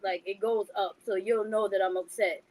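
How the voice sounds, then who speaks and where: A woman from New York co-hosting a podcast, with a high, childlike voice and a blunt, forceful way of talking. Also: steady tone, normal speed, low-quality recording. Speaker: podcast co-host. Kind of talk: casual chat.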